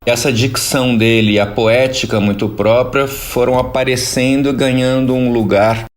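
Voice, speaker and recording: A man's voice: mid-range voice, steady tone, moderate pace. A man with a Brazilian accent giving reflective, explanatory cultural commentary as a radio columnist, often checking in with little tag questions.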